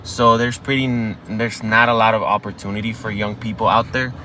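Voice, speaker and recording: Young guy from cuba, spanish first language, low voice but bright and forceful, chatting in a car with faint road hum. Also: fairly even intonation, brisk. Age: young adult. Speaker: guest. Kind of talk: casual storytelling. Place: inside a car.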